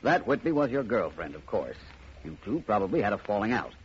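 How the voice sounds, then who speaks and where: A muffled vintage radio recording holds a suave private detective. His very husky, lowish voice moves expressively and deliberately, full of wry self-assurance. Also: very animated, heavily acted. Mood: explaining calmly. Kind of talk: 1950s radio detective drama acting.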